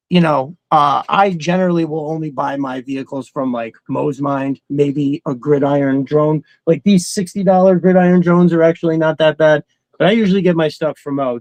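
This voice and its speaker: A man's voice: on the high side, darkish tone, no room sound. A man hosting a casual livestream, rambling in a slangy, enthusiastic way. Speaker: host of a toy collecting livestream. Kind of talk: casual chat.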